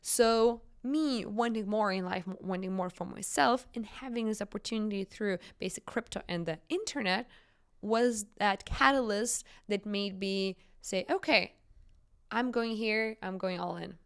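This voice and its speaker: A woman's voice: medium pitch, a little slow, clean tone. A woman in her twenties with a Lithuanian accent, telling stories informally and emphatically as an interview guest. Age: 20s. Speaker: entrepreneur guest. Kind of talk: conversational interview storytelling.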